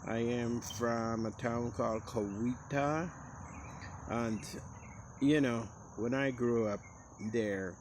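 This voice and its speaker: A man with a Costa Rican Caribbean English accent talks in a slow, unhurried, casual storytelling way. His voice sits fairly low, and he jumps higher when he mimics other people. Faint insects chirp behind him. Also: noisy background. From Costa Rica, Caribbean coast. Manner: relaxed, acts out quoted speech in a higher voice.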